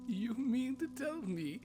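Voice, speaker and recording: Sly mocking fantasy schemer, super animated and theatrical, drags out words, music behind her. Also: very high-pitched, full character voice. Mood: mocking glee. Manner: theatrical, drawn out, swooping from whispers to cries. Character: sly, mocking, theatrical woman goading a dragon lord. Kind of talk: fantasy audio roleplay acting.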